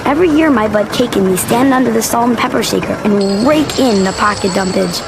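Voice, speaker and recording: A woman's voice: fairly high pitch, brisk, creaky, background noise. A woman voicing a sardonic, grumbling small-town kid who narrates a cartoon. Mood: wry, amused. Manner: wry, grumbling. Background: background music.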